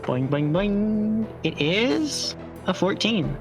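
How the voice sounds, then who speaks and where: A man's voice: high-pitched, very animated, slow. A man playing a tabletop game and chatting casually about his rolls. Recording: game music playing behind.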